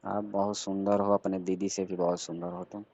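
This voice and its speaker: Deep, flat-toned man on an echoey call. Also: moderate pace. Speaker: caller. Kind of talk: casual flirtatious phone chat. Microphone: phone call. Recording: band-limited phone call recording with echo.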